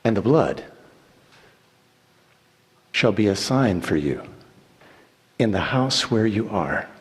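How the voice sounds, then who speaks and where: A man giving a solemn, declamatory dramatic reading of scripture in deliberate phrases. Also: on the low side, expressive, slightly rough. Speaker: church reader reciting scripture. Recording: echoey hall with long reverb.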